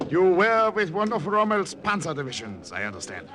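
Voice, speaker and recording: A sly, animated German army captain. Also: male voice, sits high, moderate pace, background noise. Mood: brusque, imperious. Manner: impatient, teasing, insinuating, with sly chuckles. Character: suspicious, domineering German army captain, slyly jovial, menacing. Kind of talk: 1950 radio drama acting. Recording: vintage radio recording with music.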